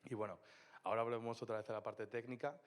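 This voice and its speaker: A man's voice: mid-range voice, quick pace. A man giving a startup business talk at a conference, explaining steadily and often tagging his sentences with "¿no?". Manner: steady, explanatory, frequent "¿no?" tags. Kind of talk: conference presentation. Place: conference hall. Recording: reverberant hall.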